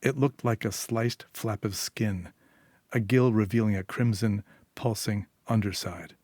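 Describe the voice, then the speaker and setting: A man's voice: lowish voice, steady tone, measured pace, darkish tone, no room sound. Man narrating fiction in a dry space. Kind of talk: scripted short story narration.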